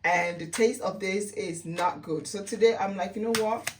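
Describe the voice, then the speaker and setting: A woman's voice: medium pitch, normal speed, far from the mic, noisy background. A woman with a Sierra Leonean accent chatting casually as a vlogger, repeating phrases for emphasis. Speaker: lifestyle vlogger. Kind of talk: casual chatty home-remedy vlog.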